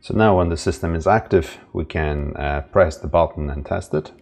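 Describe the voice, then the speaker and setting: A man's voice: low voice, normal speed, vocal fry, a little mellow, faint music behind. A man from Ireland casually walking through an intercom setup step by step, with a few fillers. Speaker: installer demonstrating setup. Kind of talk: casual tutorial narration.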